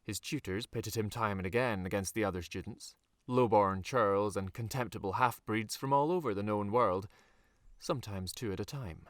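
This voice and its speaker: Male audiobook narrator, lowish voice, measured dramatic phrasing, deliberate pauses, dry recording. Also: no room sound. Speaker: author reading his own novel.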